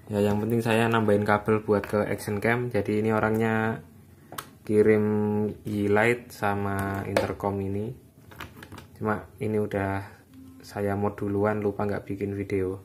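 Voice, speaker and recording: A man narrates a casual hands-on tutorial in an informal, unscripted, halting way, recorded indoors at a workbench. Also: lowish voice. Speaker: electronics repair hobbyist giving a tutorial. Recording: background noise, phone or camera microphone.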